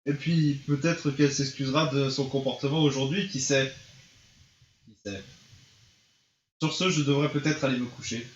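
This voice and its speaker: A man's voice: mid-range voice, fairly even intonation, normal speed, long pauses, a little hiss or hum. A man reading game dialogue and narration aloud as a streamer, with a theatrical manner and occasional stumbles over the lines. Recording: muffled, roomy, faint music behind.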